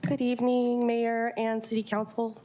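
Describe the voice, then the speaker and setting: A woman's voice: on the high side, flat delivery, normal speed, background noise. A woman with a stuffy nose from a cold, giving a prepared statement as a public commenter. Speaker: public commenter at a council meeting.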